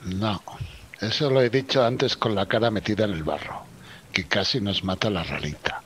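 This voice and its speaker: A man's voice: lowish voice, normal speed, a bit bright, slightly rough. A man with a Spain accent playing a tabletop role-playing game, improvising short in-character lines and narrating his actions.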